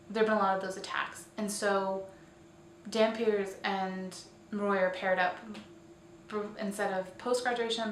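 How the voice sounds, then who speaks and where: A woman's voice: medium pitch, a bit bright. A woman hosting a casual, chatty roundup vlog, speaking informally and often correcting herself as she goes. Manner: informal, self-correcting, tentative on names. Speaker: host of a weekly online roleplay community show. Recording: echoey room, distant mic, faint hiss.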